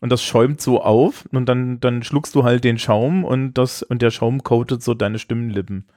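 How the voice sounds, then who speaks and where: A man's voice: medium pitch, fairly even intonation, moderate pace, darkish tone, no echo. Rambling man on a dry mic. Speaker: podcast co-host. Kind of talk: casual chat.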